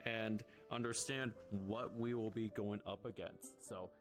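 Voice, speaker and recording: A man playing a calm, encouraging senior monster hunter in improvised roleplay, speaking formally and repeating himself. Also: lowish voice, a little slow, lightly acted. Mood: patient, instructive. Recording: loud music behind the voice.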